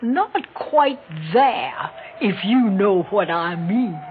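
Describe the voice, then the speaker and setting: Elderly Southern mother, sharp-tongued and genteel, very unhurried, muffled noisy vintage radio sound. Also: mid-range voice, animated. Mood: musing. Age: 80s. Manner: folksy, genteel, deliberate. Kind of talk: 1960s radio drama acting. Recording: dull, slightly noisy 1960s radio recording.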